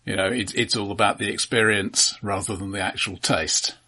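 A man's voice: lowish voice, steady tone, moderate pace, pushed and bright. A man co-hosting a film podcast, talking casually and analytically with hesitant, considered phrasing.

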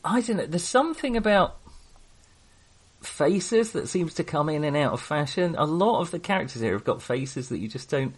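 A man's voice: a little high, expressive, normal speed, breathy edge. A man hosting a casual film discussion, speaking hesitantly and often correcting himself as he digresses. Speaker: podcast host.

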